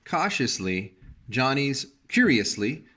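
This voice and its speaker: A man reading a picture book aloud like a teacher, in measured narration with brief asides about the pictures. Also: medium pitch, big pitch swings, sharp tone.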